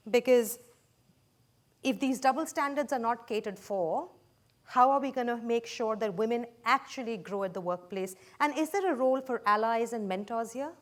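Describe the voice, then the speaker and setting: A woman moderating a panel discussion, measured and questioning, citing statistics to her guests. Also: on the high side.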